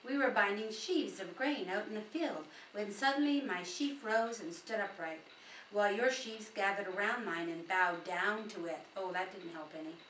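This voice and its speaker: A woman with a mid-pitched voice reads scripture aloud, dropping in informal asides. She is some way from the microphone in a roomy space, with faint noise behind her. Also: moderate pace, fairly bright tone, recorded from a distance. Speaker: Bible reader.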